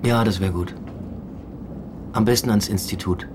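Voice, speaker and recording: An actor playing an apologetic university lecturer in a German radio play, natural and understated. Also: male voice, fairly low pitch, fairly even intonation, normal speed, close to an everyday voice. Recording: train noise behind the voice.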